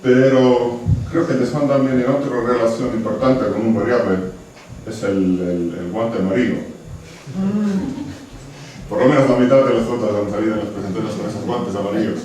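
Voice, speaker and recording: Ponente estonio con acento marcado, titubeante y cercano; sala resonante, micrófono lejano con leve ruido. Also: male voice, on the low side, steady tone, normal speed, a little mellow. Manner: hesitant, restarts words, friendly asides. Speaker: conservation researcher giving a talk. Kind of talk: conference presentation. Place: lecture hall. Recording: very echoey hall from far off, faint noise.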